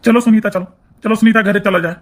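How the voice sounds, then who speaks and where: A man's voice: sits high, fairly even intonation, quick pace. A man voicing a poor, protective village husband in a cartoon comedy, speaking with an Awadhi accent. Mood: resentful, restrained. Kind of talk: cartoon comedy dialogue in rural dialect.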